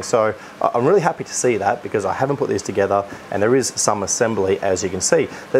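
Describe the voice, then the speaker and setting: A man's voice: mid-range voice, a little mellow, slight background noise. An Australian man hosting a product unboxing. He talks with enthusiasm and often restarts his sentences with fillers. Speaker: aquarium shop host.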